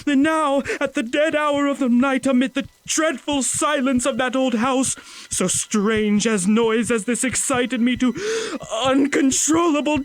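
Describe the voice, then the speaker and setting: A man's voice: extremely high pitch, unhurried. A man playing a nervous, obsessive mad murderer in a gothic horror audio drama, speaking theatrically and stumbling over repeated words. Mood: frenzied terror.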